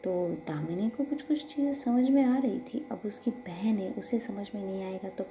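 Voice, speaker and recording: Woman storyteller telling a romance story, soft and hushed, very mellow dark voice, bit of gravel. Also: mid-range voice, normal speed, dull audio. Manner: soft, intimate, often half-whispered. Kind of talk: romantic audio story narration.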